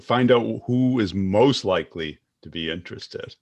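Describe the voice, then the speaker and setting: A man with a fairly low voice acts as a podcast host. He speaks casually, in an interview chat style. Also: measured pace, vocal fry.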